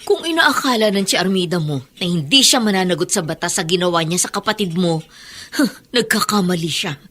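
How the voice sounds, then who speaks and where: A woman's voice: lowish voice, expressive, brisk, a bit bright. A woman playing a fiercely protective, long-suffering mother in an emotional, emphatic Tagalog radio drama style. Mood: bitter, firm.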